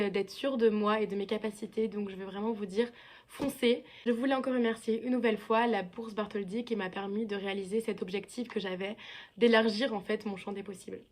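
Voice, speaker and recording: A woman in her early twenties from France records a thank-you message as a scholarship student. Her voice sits a little high, and she speaks earnestly and gratefully, with occasional hesitant fillers. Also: a little mellow. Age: 20s.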